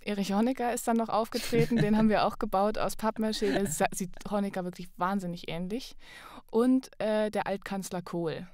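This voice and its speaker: A woman's voice: mid-range voice, fairly even intonation, fairly quick, a little mellow, no echo, studio quality. A woman telling personal anecdotes as a casual podcast guest, often correcting herself as she goes.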